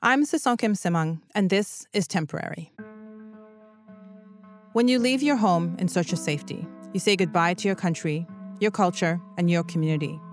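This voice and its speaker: A woman narrates a documentary podcast with a steady, earnest, scripted delivery. Her voice sits somewhat low, and soft music runs faintly beneath it. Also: normal speed.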